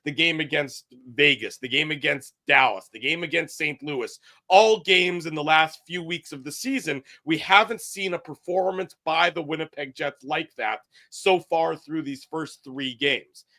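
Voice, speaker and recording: A man co-hosting a hockey talk show, laying out his analysis in a measured way. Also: a little high, sharp tone. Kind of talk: casual sports talk.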